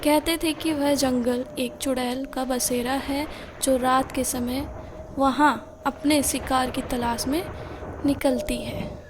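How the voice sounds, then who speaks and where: High-pitched, unhurried woman storyteller. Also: steady tone, noisy background. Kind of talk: scripted children's story narration.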